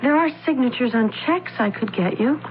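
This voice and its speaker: A woman's voice: fairly high pitch, animated, normal speed, natural voice. A woman playing an anxious, earnest young secretary in a radio mystery drama, polite and hesitant, with small stammers. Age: young adult. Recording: dull, faintly noisy old radio broadcast.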